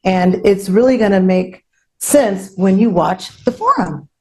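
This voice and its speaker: A woman's voice: mid-range voice, expressive, a little slow, mellow. A woman hosting an online panel, talking promotionally and repeating phrases for emphasis. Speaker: host.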